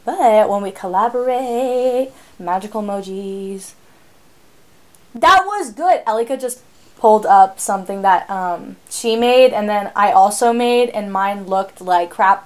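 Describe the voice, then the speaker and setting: A teenage girl co-hosting a podcast, chatting casually in an animated, rambling way, often laughing. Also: fairly high pitch. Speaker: podcast co-host. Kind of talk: casual chat.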